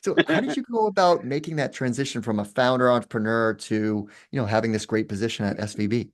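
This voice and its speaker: A man's voice: medium pitch, quick pace. A middle-aged man hosting a podcast, asking casual questions in a friendly way with many fillers. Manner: friendly, laughing, filler-heavy. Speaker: podcast host.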